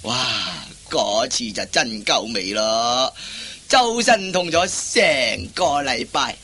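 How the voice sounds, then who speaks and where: An actor playing a timid, henpecked Cantonese husband in a radio comedy play, stammering, hesitant and apologetic. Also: male voice, mid-range voice, animated, slow, bright, forceful tone, slight background noise. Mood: flustered. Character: timid henpecked husband, meek office clerk.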